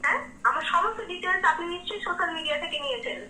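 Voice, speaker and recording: A woman's voice: very high voice, expressive, rapid-fire, a crowd in the background. A young woman with a West Bengal Bengali accent, protesting indignantly in a phone conversation. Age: young adult. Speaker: prank call recipient. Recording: echoey phone call, faint noise.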